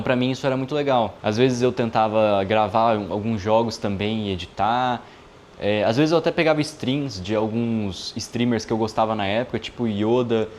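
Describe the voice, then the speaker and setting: Early-20s male vlogger, easygoing conversational delivery, average pitch, faint room hiss. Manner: relaxed, chatty, frequent filler tags. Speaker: video editor and YouTuber. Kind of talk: casual vlog monologue.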